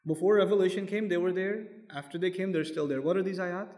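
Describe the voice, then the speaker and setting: High-voiced man teaching in an echoey hall. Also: steady tone, fast, darkish tone. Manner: explains step by step, asks the audience questions; quotes Arabic verses. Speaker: Quran teacher. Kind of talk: lecture-style sermon. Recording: long hall reverb.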